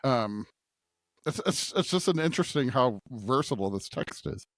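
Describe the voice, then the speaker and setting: Lively-pitched man chatting casually. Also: moderate pace, no room sound. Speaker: podcast host. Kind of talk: casual chat.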